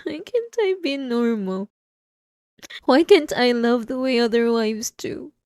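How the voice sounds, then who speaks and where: An obsessive, delusional wife, acted by a woman in an intimate audio roleplay. Her voice is high and soft-edged, and she speaks slowly and haltingly, full of tearful remorse and possessive love. It is very close and dry on the microphone. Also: expressive, dry recording. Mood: remorseful, pleading.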